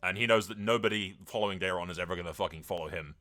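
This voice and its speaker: A man doing casual, sweary video game commentary, switching between excitable reactions, explanations and improvised story narration. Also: low voice, very fast. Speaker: video game streamer narrating a role-play playthrough.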